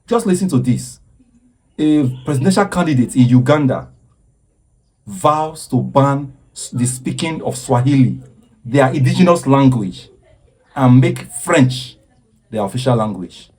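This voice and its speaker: Dark husky man preaching earnestly. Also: medium pitch, lively intonation, unhurried, pauses often, poor audio. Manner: earnest, preaching, stops to repeat himself. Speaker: commentator. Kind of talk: impassioned social commentary.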